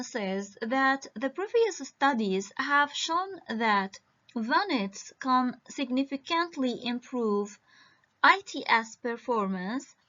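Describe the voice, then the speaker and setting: Woman presenter, high voice, very slow halting delivery, muffled video-call sound. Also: pushed and bright. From Iraq. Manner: halting, with frequent hesitations. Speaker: conference presenter. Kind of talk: academic conference presentation.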